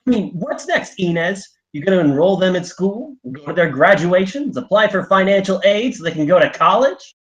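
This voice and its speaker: A man's voice: high voice, lively intonation, normal speed, few pauses, muffled recording. A man reading the part of a restless, evasive teenage boy, defensive and sardonic, at a screenplay table read. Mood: exasperated.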